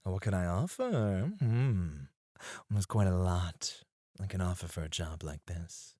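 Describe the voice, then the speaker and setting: A man playing a suave, flirtatious male escort in an ASMR roleplay, teasing and courteous, with drawn-out phrasing. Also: low voice, animated, mellow, dry recording, studio quality. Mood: smooth, businesslike teasing. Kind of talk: ASMR roleplay, addressed to one listener.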